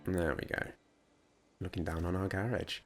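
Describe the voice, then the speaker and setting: Croaky, low-voiced British man. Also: fairly even intonation, normal speed. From United Kingdom. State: croaky from hay fever. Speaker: gaming let's player. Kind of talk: casual gameplay commentary. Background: video game music and truck engine.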